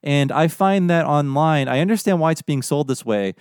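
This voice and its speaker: A man's voice: on the high side, moderate pace, a little mellow, clear, resonant tone, dry recording, studio quality. A man hosting a podcast, giving opinionated, articulate explanations in a casual conversational way. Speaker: podcast host.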